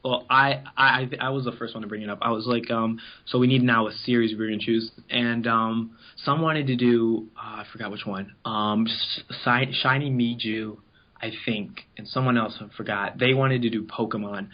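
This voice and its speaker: A man's voice: mid-range voice, fairly even intonation, normal speed. Rambling casual man on dull lo-fi audio. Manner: rambling, informal, acts out quoted remarks. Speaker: interview guest, amateur voice actor in an abridged anime series. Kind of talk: casual interview chat, telling anecdotes.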